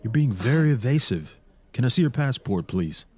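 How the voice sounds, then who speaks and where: A low, muted-toned policeman played by a woman in an audio drama. He questions firmly and formally, staying insistent, with a pitched-down voice. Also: expressive, normal speed, dark tone. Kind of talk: ASMR audio roleplay.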